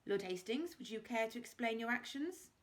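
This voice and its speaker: A calm, methodical police inspector who asks his questions politely and formally, read by a woman. It stays close to the reader's own voice, in a storybook reading. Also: a little high, normal speed, close to an everyday voice. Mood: probing.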